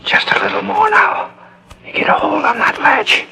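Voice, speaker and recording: An actor playing a sneaking masked burglar in 1940s radio style, muttering and whispering in folksy American speech. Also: male voice, extremely high pitch, huge pitch swings, moderate pace, pushed and bright, full character voice, low-quality recording. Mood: furtive, tense. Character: sneaking masked male burglar, muttering, jittery, rough-spoken. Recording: old 1940s radio transcription disc.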